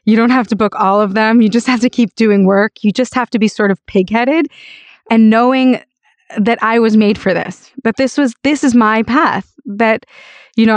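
An American woman with a raspy voice, telling personal stories emphatically and conversationally as an interview guest. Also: fairly high pitch, no room sound. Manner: emphatic, peppers talk with "like" and "you know". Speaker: interview guest, actor and voice-over artist.

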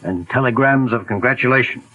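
An actor playing a calm, methodical detective in a 1940s radio drama, asking measured, probing questions. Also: male voice, medium pitch, narrow, tinny audio, lightly acted. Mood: inquiring.

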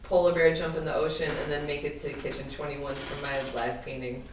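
Low-voiced Brooklyn woman, echoey room. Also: flat delivery, fairly quick. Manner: chatty, laughs often. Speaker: guest artist. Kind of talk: casual podcast chat. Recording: echoey room, distant mic, faint noise.

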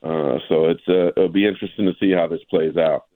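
Low-voiced man, halting basketball talk. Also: steady tone, no echo, narrow, tinny audio. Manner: halting, repeats words, often tags points with "right?". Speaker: sportswriter guest. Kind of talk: casual basketball discussion.

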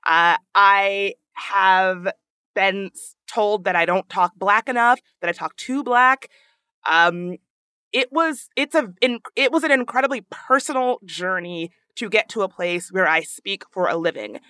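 A woman with a high voice, hosting a podcast in casual, earnest discussion, thinking aloud with frequent restarts. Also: measured pace, pushed and bright, smooth, no echo, studio quality. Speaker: podcast host.

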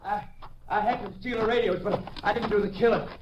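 A man's voice: sits high, steady tone, quick pace, highs cut off, slight background noise, lightly acted. A man with an American accent playing a panicked, pleading hijacker in a 1950s radio western. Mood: frightened, pleading.

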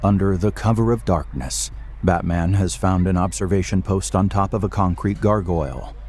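A man's voice: low voice, moderate pace, darkish tone, a little hiss or hum. A man reading scripted narration for an audio drama.

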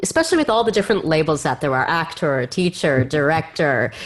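A woman with a fairly low voice and very lively intonation hosts an interview. She is effusive and conversational, circling into her questions and repeating words for emphasis. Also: fairly quick. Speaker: podcast interviewer.

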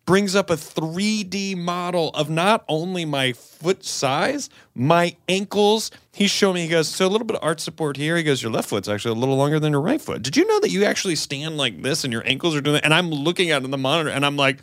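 A man's voice: a little high, fairly quick, few pauses, dry recording, clean studio sound. A man co-hosting a comedy podcast, acting out the people in his stories as he tells them. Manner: animated storyteller, acts out both sides of conversations. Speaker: podcast co-host. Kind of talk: casual comedic chat.

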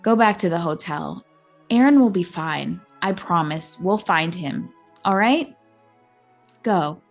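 A woman's voice: fairly low pitch, animated, takes it slowly, gravelly, faint music behind, full character voice. A woman voicing a defiant, protective female schoolteacher in a storybook. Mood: reassuring.

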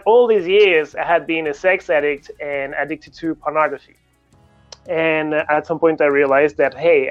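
Higher-pitched French man, slightly dull audio. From France, grew up in Paris. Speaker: guest, coach. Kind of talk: conversational interview answers.

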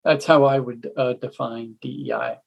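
Husky-voiced middle-aged woman on a panel. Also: very deep voice, fairly even intonation, dull audio. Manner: measured, thoughtful, halting. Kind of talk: panel discussion answers.

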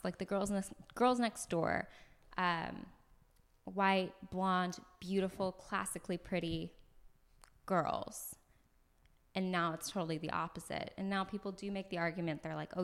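A young American woman, an actress, chatting as a podcast guest in an animated way, laughing often and filling her talk with "like". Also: lowish voice. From United States. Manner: animated, laughs often, talks in bursts with many "like"s. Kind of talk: casual podcast conversation. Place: floor of an apartment on moving day.

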